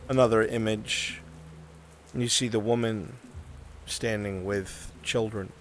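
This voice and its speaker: A man acting as game master, narrating a live roleplay scene in deliberate short phrases with atmospheric pauses. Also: on the low side, fairly even intonation. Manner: deliberate, pausing between short descriptive phrases. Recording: noisy, with rain ambience behind. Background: rain ambience.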